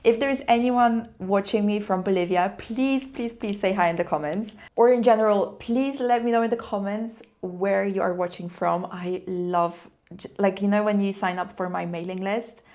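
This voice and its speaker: A young woman who is a native Polish speaker, chatting casually and quickly in a vlog, with frequent light laughs. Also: medium pitch, darkish tone. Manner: fast-talking, laughs often, upbeat. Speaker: language-learning vlogger.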